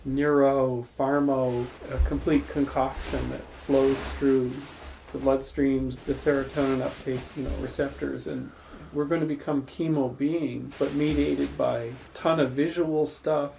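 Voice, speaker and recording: A man hosts a casual salon in a living room and spins long, thoughtful stories. His voice has a gravelly texture and a dark, mellow tone, and he is picked up from across the room. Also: medium pitch, a little hiss or hum, low-quality recording. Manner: thoughtful, rambling, builds long spoken stories. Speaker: salon host. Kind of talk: reflective storytelling in casual group talk.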